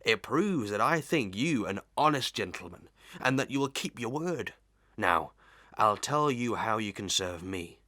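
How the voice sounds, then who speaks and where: A man acts out a wheedling village busybody in a Victorian audio melodrama. The voice is fairly low and very bright, recorded close and dry. He rambles self-importantly, hemming and trading in old sayings. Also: animated, a little slow, dry recording. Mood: ingratiating. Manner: rambling, proverb-spouting, self-important, hemming with throat-clearing.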